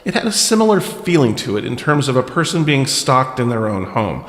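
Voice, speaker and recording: Man podcasting with very animated pitch. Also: fairly quick. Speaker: podcast host. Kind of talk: scripted commentary with casual asides.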